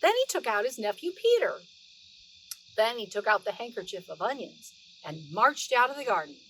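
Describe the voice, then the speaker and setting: Female storyteller, middle-aged, high voice, expressive children's read-aloud with drawn-out words and chatty asides; faint background noise. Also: low-quality recording.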